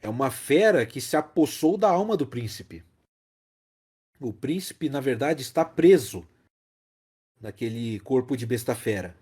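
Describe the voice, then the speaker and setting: A Brazilian man talks through a film as a podcast host. His voice is soft-edged and mellow, with pitch that moves a lot. He explains casually but emphatically, repeating key phrases for weight. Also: lively intonation, a little slow, long pauses, slightly rough.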